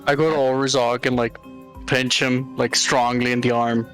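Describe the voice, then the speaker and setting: A man's voice: mid-range voice, level tone, moderate pace, a bit bright, faint music behind. A man playing a tabletop game in casual improvised roleplay.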